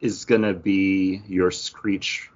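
A man's voice: low-pitched, flat delivery, takes it slowly, darkish tone, lo-fi sound. A man co-hosting a podcast, chatting casually as he reasons out quiz answers. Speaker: podcast co-host, quiz contestant.

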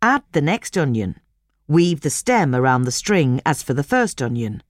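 A woman reading a scripted instructional article aloud as narrator, in measured, clearly separated phrases. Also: low voice, very animated, no echo, studio quality.

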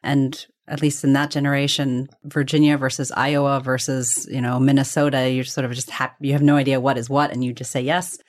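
A woman's voice: very deep voice, level tone, brisk, hardly any pauses, a little mellow. A woman being interviewed, telling personal stories conversationally in a reflective, discursive way, often qualifying and correcting herself. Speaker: interview guest.

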